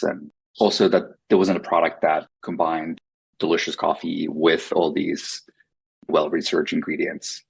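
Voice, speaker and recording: A Finnish-native man explains his company's product in a measured, matter-of-fact way. His voice is deep, with a gravelly fry and a husky edge. The delivery is calm and explanatory. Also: poor audio. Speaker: company co-founder, guest. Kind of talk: conversational podcast interview.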